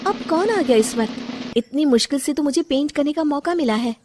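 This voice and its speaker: A woman's voice: sits high, expressive, fairly quick, a little mellow, faint music behind, pitched above the performer's own voice. A woman voicing a frustrated, emotional young daughter-in-law in a cartoon moral story. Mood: annoyed, snapping. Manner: plaintive, emotional, defensive.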